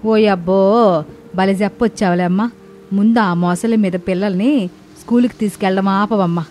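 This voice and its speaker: A woman voicing a cranky, melodramatic old mother-in-law in an animated story, complaining theatrically. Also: mid-range voice, normal speed, lightly acted. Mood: indignant scolding. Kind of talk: animated moral story acting.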